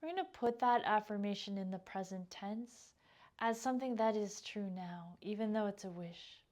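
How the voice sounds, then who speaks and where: Gently guiding woman meditation teacher. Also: mid-range voice. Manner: gentle, unhurried, instructional, phrases trailing off softly. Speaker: yoga nidra meditation teacher. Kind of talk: guided meditation.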